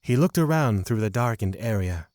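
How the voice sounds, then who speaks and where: A man's voice: fairly low pitch, expressive, moderate pace, a little mellow, no room sound, studio quality. A man narrating a scripted fantasy audio drama.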